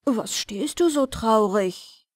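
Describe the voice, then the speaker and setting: A woman's voice: on the high side, lively intonation, slow pace, dry recording, clean studio sound. A woman reading the part of an adventurous, earnest young huntsman in a fairy tale. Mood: concerned. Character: young male huntsman, adventurous, lovestruck and eager.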